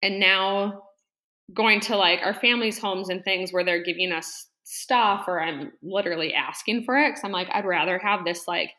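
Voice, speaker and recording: A woman guesting on a podcast, chatting casually and rambling with lots of fillers and quick laughs. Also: mid-range voice, bright, forceful tone. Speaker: podcast guest, interior design business owner. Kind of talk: casual interview chat.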